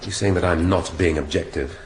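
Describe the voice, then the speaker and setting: An English actor playing a middle-aged police inspector in a radio drama, shrewd and dry, speaking deliberately with authority. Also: male voice, sits low, steady tone, lightly acted. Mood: wry, dry. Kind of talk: naturalistic radio drama acting.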